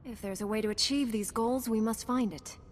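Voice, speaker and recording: A woman's voice: on the high side, a little fast, slight background noise, close to an everyday voice. Woman acting a young Jedi heroine, echoing hall. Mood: resolute, questioning. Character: dutiful, wry young female Jedi Knight hero. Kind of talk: video game cutscene acting.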